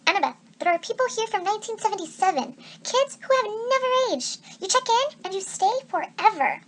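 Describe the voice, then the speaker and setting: A woman's voice: very high voice, very animated, brisk, a little hiss or hum, pitched above the performer's own voice. A woman voicing an earnest teenage boy hero in a storybook, urgent and insistent.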